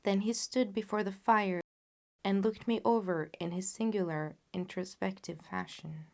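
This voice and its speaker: A woman's voice: mid-range voice, fairly even intonation, normal speed, gravelly. A woman reading classic fiction aloud as scripted audiobook narration. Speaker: audiobook reader.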